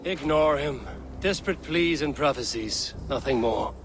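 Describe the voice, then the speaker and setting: An actor playing a stern, dry-witted Viking warrior in a video game, speaking in a measured, deadpan, commanding way. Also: male voice, on the high side, noisy background. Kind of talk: video game cutscene acting.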